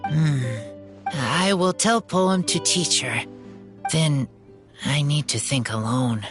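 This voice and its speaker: A woman voicing an earnest, simple wild teenage boy in a video game, speaking in halting, clipped broken sentences. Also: low voice. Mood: pensive. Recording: music behind the voice.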